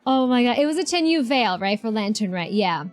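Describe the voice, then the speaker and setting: A woman's voice: a little high, lively intonation, brisk, dry recording. A woman streaming a game, chatting casually and effusively, repeating herself as she reacts. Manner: effusive, repeats herself, talks back to characters. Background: game music.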